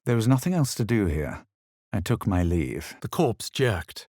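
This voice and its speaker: A man playing a self-assured, haughty fantasy adventurer in a video game, speaking in a measured, composed, faintly superior way. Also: lowish voice, sing-song, darkish tone, no room sound, studio quality. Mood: cool, measured. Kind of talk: video game character lines, scripted.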